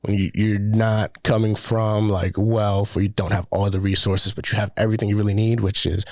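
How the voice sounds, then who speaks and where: Young man, relaxed rambling talk. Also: lowish voice, moderate pace, no echo, clean studio sound. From Dominican Republic. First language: Spanish. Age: young adult. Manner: relaxed, rambling, many "like" fillers. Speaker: student filmmaker guest. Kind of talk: casual conversation.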